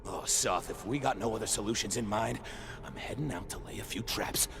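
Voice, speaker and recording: An actor playing a gruff, stubborn ex-military patrol guard in a video game, blunt and emphatic. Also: male voice, fairly low pitch, a little fast, a bit bright, a little hiss or hum. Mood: defiant. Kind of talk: dramatic video game cutscene acting. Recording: music behind the voice.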